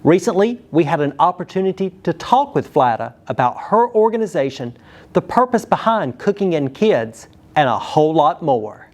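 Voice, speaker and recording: A man's voice: fairly high pitch, big pitch swings, moderate pace, darkish tone. Polished male broadcast interview host.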